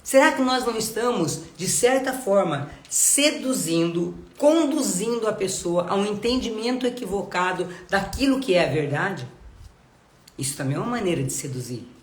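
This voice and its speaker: A woman's voice: mid-range voice, expressive, moderate pace. Congested woman preaching in a roomy space. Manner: earnest, asks many rhetorical questions. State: congested, nasal from a cold. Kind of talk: live devotional Bible teaching. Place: home.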